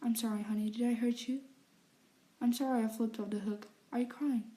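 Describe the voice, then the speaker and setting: This is a young man of magic, doting and protective, voiced by a woman reading aloud who keeps him quiet and close. He drops into a breathy whisper and stays low-key. The tone sits a touch high and mellow. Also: fairly even intonation, normal speed, close to an everyday voice. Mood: tender, soothing. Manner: soft, hushed, partly whispered. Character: young male wizard, protective fiancé.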